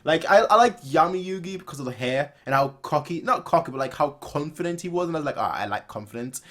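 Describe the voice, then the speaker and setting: A man's voice: fairly high pitch, animated, fast talker, fairly bright tone. A man in his twenties giving casual, chatty reaction commentary, often rambling and repeating his phrases. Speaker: reaction video host. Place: small bedroom, indoors.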